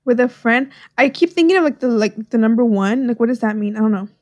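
A woman's voice: fairly high pitch, fast talker, no room sound. A woman co-hosting a casual podcast from her parents' house, joking and exclaiming as she works through riddles. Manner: animated, exclaims, jokes around. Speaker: podcast co-host. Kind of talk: casual chat solving riddles.

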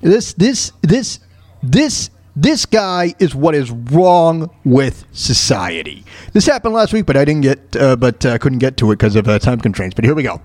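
Halting, lively male talk show host. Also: mid-range voice, no echo. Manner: halting, stammering, repeats phrases. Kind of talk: casual off-the-cuff radio commentary.

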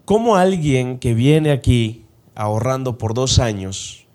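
A man's voice: medium pitch, expressive, takes it slowly, darkish tone. A man hosting a podcast interview, affirming his guest often and asking long, searching questions. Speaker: podcast host. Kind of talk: casual interview talk.

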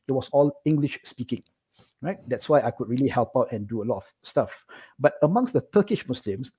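Fast-talking Singaporean man, husky and mellow. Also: mid-range voice. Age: middle-aged. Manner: animated, checks in with "right?" often. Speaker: interview guest. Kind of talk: casual personal storytelling.

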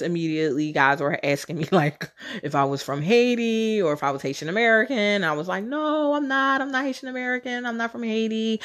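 A woman's voice: on the low side, fairly bright tone. A woman podcast host telling casual personal stories on her own, in a chatty, candid, slangy way with some casual swearing. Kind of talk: casual solo storytelling.

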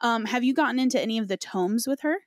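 A woman's voice: a little high, expressive, quick pace, no room sound. A woman hosting a podcast, chatting casually and emphatically about video game lore in an informal way. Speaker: podcast host.

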